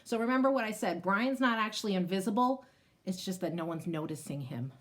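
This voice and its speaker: A woman's voice: mid-range voice, expressive, brisk, a little hiss or hum. A woman reading a picture book aloud to children, explaining it and addressing young listeners directly as a teacher would. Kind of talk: expressive storybook read-aloud with asides to children.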